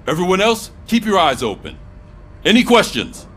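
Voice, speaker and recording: Animated, authoritative police sergeant. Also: male voice, high voice, moderate pace, exaggerated voice. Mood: businesslike, firm. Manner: authoritative briefing. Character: firm, brisk middle-aged male police sergeant.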